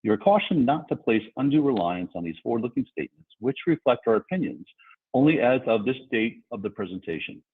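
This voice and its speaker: A man's voice: mid-range voice, big pitch swings, fairly quick, husky. A man in investor relations formally reading a scripted corporate statement. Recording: tinny phone-like conference line.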